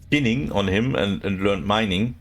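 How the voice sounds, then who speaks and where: Halting, gravelly-voiced man streaming. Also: lowish voice. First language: not English. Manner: halting, searching for words, many fillers. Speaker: game streamer. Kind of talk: casual live-stream chat with viewers.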